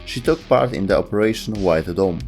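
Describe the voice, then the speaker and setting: A man with a fairly low voice delivers scripted narration for a gaming video. He speaks as the host and narrator, with faint music running underneath. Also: a little fast, darkish tone. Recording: music behind the voice. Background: background music.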